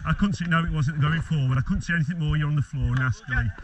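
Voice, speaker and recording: A man refereeing a rugby match outdoors, calling loud commands to players with quick apologetic asides. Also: on the high side. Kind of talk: on-field refereeing calls. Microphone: body-worn camera mic. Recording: distant wind-exposed body-cam audio.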